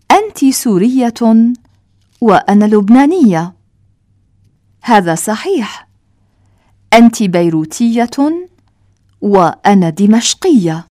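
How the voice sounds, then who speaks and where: A woman reading a scripted language-lesson dialogue, enunciating each short line slowly and carefully for learners. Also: mid-range voice, darkish tone, no echo, studio quality.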